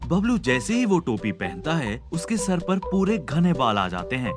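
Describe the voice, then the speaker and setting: A man's voice: a little high, very animated, normal speed. A man reading scripted narration for a cartoon story. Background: background music.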